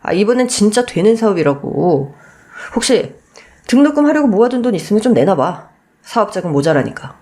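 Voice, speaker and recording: A woman's voice: medium pitch, very animated, brisk, warm tone. A woman voicing an irritable, selfish middle-aged husband, brusque and dismissive, in a radio story. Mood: irritated, dismissive. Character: irritable, selfish deadbeat husband chasing business money.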